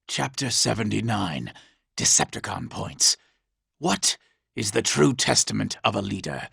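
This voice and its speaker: A treacherous, self-loving robot villain from a video game. The voice is heavily gravelled and piercing, with big theatrical swoops in pitch. Every line is delivered with pompous, sneering contempt for lesser beings. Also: male voice, a bit bright, no echo, clean studio sound, full character voice. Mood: pompous, self-admiring. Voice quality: raspy, gravelly. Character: vain, scheming, treacherous robot villain, cowardly under threat. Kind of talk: video game character acting.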